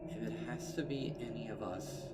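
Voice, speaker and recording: A man voicing a grave, firm female unicorn, a burdened leader, with stern and deliberate explanation in a dramatic story reading. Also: mid-range voice, roomy sound, far from the mic, heavy background noise, lightly acted. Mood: weary resolve. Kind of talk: dramatic fan fiction reading.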